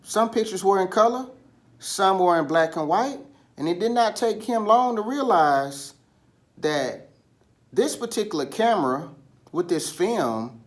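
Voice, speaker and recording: A man telling a children's story in an animated style, with dramatic pauses and drawn-out, emphatic words. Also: high voice. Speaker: storybook reader.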